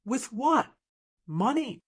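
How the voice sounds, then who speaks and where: A woman acts out a stubborn small-town woman in her sixties for an audiobook drama. She is blunt and sardonic, with old hurt under lively, swinging pitch. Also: slow, husky, exaggerated voice. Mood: bitter, wounded. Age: 60s.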